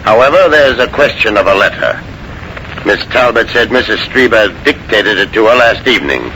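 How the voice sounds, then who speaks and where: An actor playing a formal, matter-of-fact police inspector in a 1940s radio drama, measured and dry. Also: male voice, lowish voice, lively intonation, pushed and bright, rough recording. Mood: probing. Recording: hissy 1940s radio recording.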